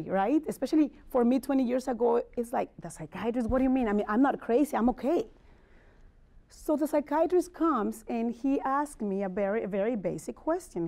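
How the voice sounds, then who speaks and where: Higher-voiced Mexican woman giving a candid talk. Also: gravelly, dark tone. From Mexico City, Mexico. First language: Spanish. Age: middle-aged. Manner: candid, conversational, checks in with listeners. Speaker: motivational speaker and life coach. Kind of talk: personal wellness presentation to an audience.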